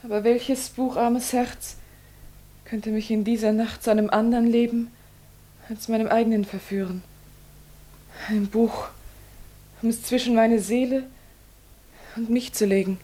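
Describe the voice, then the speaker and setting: Radio play: a wary, romantic young woman, fairly high voice, intense and anguished delivery. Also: steady tone, unhurried, long pauses, warm tone. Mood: brooding, melancholy. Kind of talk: classic German radio play acting.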